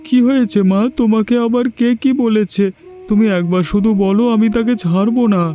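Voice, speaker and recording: A man's voice: high voice, a little fast, dark tone, natural voice. A man voicing a simple, childlike village boy in a cartoon, naive, earnest and devoted to his mother. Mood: worried, protective. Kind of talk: Bengali fairy tale cartoon dubbing.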